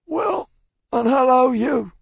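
A man voicing a hoarse, blunt messenger on horseback in a storybook, calling out. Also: extremely high pitch, animated, takes it slowly, no echo, pitched above the performer's own voice, full character voice. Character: hoarse mounted male messenger, calling through mist.

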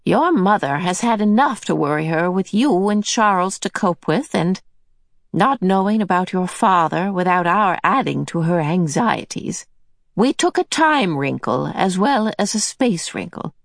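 A woman's voice: lowish voice, expressive, creaky, no echo, clean studio sound. A woman voicing a cheerful, motherly old otherworldly female being who soothes and fusses. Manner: bright and soothing, brisk.

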